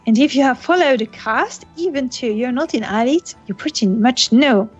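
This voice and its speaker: A woman hosting a casual gaming webcast over a call, speaking slowly and laughing often. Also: high voice, lively intonation, husky. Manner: slow, laughs often, non-native English phrasing. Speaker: podcast host. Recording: dull lo-fi audio with faint music.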